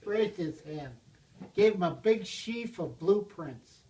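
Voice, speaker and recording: A man's voice: sits high, lively intonation, slow, mellow. An older man, a blacksmith, casually telling a rambling story to a small group, chuckling now and then. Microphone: handheld video camera. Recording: distant from the mic, roomy.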